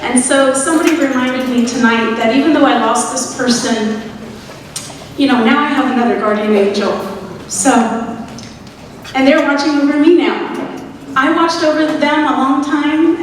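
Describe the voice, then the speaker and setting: A woman's voice: high voice, normal speed, a little hiss or hum. A woman from the US South with a Southern accent, speaking earnestly and encouragingly to a crowd at a community event. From Atlanta, US South. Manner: earnest, encouraging, leads the crowd in repeating phrases. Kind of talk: public speech to an audience. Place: large echoey hall, live event. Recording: echoey hall recorded from far off.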